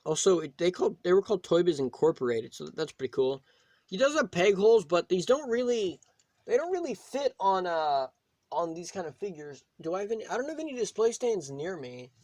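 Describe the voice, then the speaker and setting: A man hosting a casual, unscripted toy review, talking in a rambling, halting way and often rephrasing himself. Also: sits high, sing-song, darkish tone, dry recording. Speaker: toy reviewer, video host.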